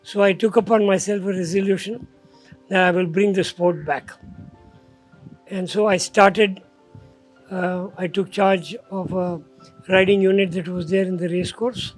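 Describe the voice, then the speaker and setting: Older man, high-pitched voice. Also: fairly even intonation, a little slow, long pauses, soft background music, rough recording. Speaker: riding school founder. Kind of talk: documentary interview.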